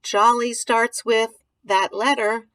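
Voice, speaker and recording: A woman's voice: a little high, fairly even intonation, slow, fairly bright tone, no room sound. A woman reading a picture book aloud to young children, stopping to ask questions, muse aloud and explain things simply. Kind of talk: read-aloud with informal commentary to young children.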